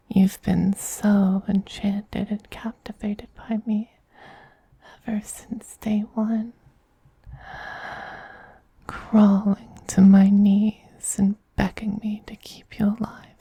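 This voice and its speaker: Man acting as male vampire queen, very dark soft tone, unhurried, doting, gently dominant, breathy whisper, ASMR roleplay. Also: high-pitched, flat delivery, no room sound, exaggerated voice. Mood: teasing, doting. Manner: slow, soothing, gently dominant, intimate.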